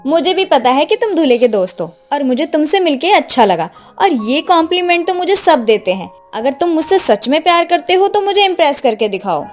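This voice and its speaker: A woman acts a spoiled young city wife in a cartoon moral story. Her voice is high and insistent, with haughty phrasing over faint music. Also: sing-song, a little fast, hardly any pauses. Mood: coy.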